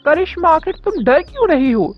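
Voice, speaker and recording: A woman voicing a timid, earnest young man in love in an animated story. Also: very high-pitched, moderate pace, pitched above the performer's own voice. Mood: pleading. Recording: dull lo-fi audio with music.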